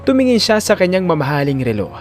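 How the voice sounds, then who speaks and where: A man's voice: high voice, big pitch swings, fast talker, soft background music. A man with a Filipino accent narrating an audio drama in a scripted, dramatic storytelling style.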